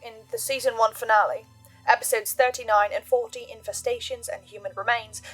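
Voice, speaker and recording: A woman hosting a scripted horror analysis, speaking as an investigator in a measured, deliberate way with dramatic pauses. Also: a little high, slightly rough, rough recording. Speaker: host of a fan theory channel. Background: music.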